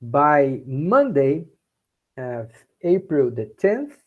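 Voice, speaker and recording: A Brazilian professor with a Brazilian Portuguese accent, teaching a class in English, often checking in with "okay?" and repeating points in Portuguese. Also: male voice, fairly high pitch, big pitch swings, drawn-out words, phone-quality sound. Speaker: university professor. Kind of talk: bilingual class lecture.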